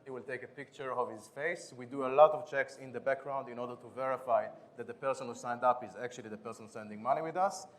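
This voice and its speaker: A man with an Israeli accent pitches his startup on a conference stage. He talks quickly and in a businesslike way, sometimes restarting a word. His pitch sits in the middle range for a man. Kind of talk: conference pitch and product demo.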